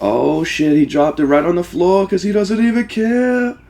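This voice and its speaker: A man giving casual, rambling, profanity-laced gaming commentary with excitable fan talk. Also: high voice, normal speed, gravelly. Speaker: let's play host.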